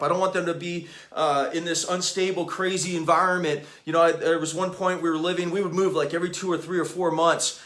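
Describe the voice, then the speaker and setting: A middle-aged man from Hawaii hosting a casual live stream, reading reviews aloud in a halting way, full of fillers. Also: on the high side, fairly bright tone. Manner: halting, many uh and um fillers, enthusiastic. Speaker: author and app developer hosting a live stream.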